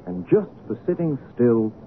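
An actor playing a proud, obsessive, lovesick painter with an imperious, theatrical manner in an old radio drama. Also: male voice, medium pitch, sing-song, moderate pace, natural voice. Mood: commanding. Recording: noisy, tinny old radio broadcast recording.

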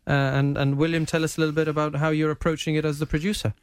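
A man's voice: fairly high pitch, little pitch movement, rapid-fire, clean tone, dry recording. A man with a Gibraltarian accent hosting a casual live radio interview, dropping the odd Spanish word into his English. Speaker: radio presenter interviewing guests. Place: radio studio.

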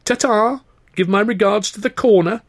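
A man's voice: high-pitched, normal speed, pitched above the performer's own voice. A man voicing a cocky, mocking young music-hall rival in a story, with a smug, lilting delivery. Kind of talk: audiobook character voice.

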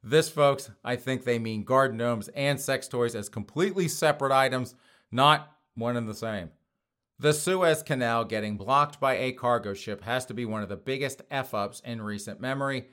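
A hoarse man hosting a show, reading news items and mocking them with frequent laughs. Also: medium pitch, moderate pace, a bit bright. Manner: sarcastic, laughs often between phrases. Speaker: podcast host. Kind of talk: casual mocking commentary.

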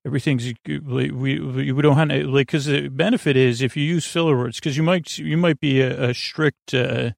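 Male sleep podcast host, gravelly and lulling, wandering off topic, very dry close sound. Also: mid-range voice, steady tone, normal speed, few pauses, no echo. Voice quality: creaky. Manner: meandering, hesitant, full of tangents and filler words; soothing, lulling delivery. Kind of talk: rambling bedtime sleep podcast monologue.

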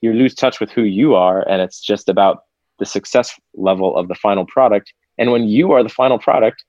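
Man, low voice, reflective and candid, casual swearing, lo-fi dull audio. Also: fairly quick, a little mellow, no room sound. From Seattle, USA. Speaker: podcast guest. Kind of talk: casual podcast conversation.

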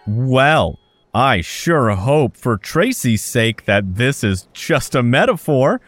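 A man's voice: mid-range voice, big pitch swings, slow pace, a bit bright, soft background music. A man playing an ingratiating telethon host with showy, theatrical broadcast patter. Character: smooth-talking male telethon host soliciting donations.

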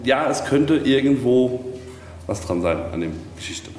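A man with an average-pitched voice explains his thoughts in casual conversation. He is reflective and halting. The recording is strongly echoey, with faint noise behind him. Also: animated, a little mellow. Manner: halting, reflective, searching for words.

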